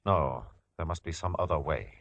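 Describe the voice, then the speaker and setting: A man's voice: rumbling low, moderate pace, a bit bright. An actor playing an imperious, scornful ancient king, speaking in a stately and formal way in a 1950s radio drama. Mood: measured, tender. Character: imperious, scornful middle-aged Philistine king.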